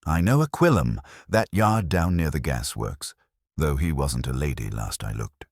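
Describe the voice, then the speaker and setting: Man as a pompous, very deep-voiced councillor. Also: animated, moderate pace, vocal fry, no echo, studio quality, exaggerated voice. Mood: pompous, musing. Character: pompous, speculating male town councillor.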